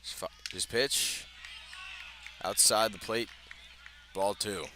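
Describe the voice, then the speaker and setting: A man's voice: on the low side, fairly even intonation, takes it slowly, bright, forceful tone. A man with an American accent calling live baseball play-by-play on the radio. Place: outdoors at a ballpark. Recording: outdoor ballpark ambience, clear background noise.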